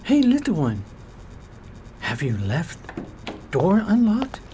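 A woman's voice: on the low side, huge pitch swings, takes it slowly, mellow, slight background noise, voice pushed down, exaggerated voice. A woman voicing a cowardly thirty-year-old male stalker, wheedling and sullen, in an amateur radio drama. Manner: wheedling then sullen and whiny.

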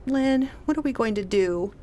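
An actress playing a wry, weary, irritable pregnant wife with a dry manner in a radio play. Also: female voice, a little high, lively intonation, moderate pace, dark tone, a little hiss or hum, close to an everyday voice.

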